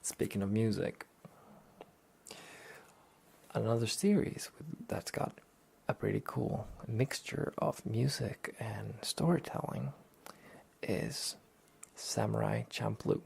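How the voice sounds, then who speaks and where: A man speaks softly in a low voice full of heavy creak and breath. He is very slow and hesitant, rambling in a low-key, casual way, like a host chatting to help people relax. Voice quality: soft, breathy, gravelly voice. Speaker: host of a weekly anime talk show. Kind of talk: soft-spoken relaxation talk, casual review.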